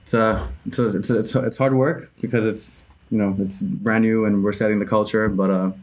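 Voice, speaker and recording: A man's voice: lowish voice, steady tone, brisk, darkish tone. A man in his twenties chatting casually as a podcast guest, often repeating words as he goes. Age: 20s. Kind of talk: casual chat.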